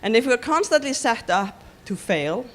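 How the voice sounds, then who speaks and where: A woman from Iceland with an Icelandic accent, giving a conference talk in a halting way with many ums and restarts. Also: fairly high pitch, very animated. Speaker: conference speaker.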